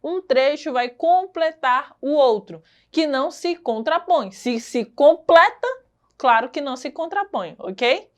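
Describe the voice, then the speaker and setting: Brazilian teacher lady, high pitched, goes over stuff twice and keeps asking ok?. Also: lively intonation, measured pace. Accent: Brazilian Portuguese. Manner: explanatory, repeats key points and checks in with "ok?". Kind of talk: recorded classroom lesson.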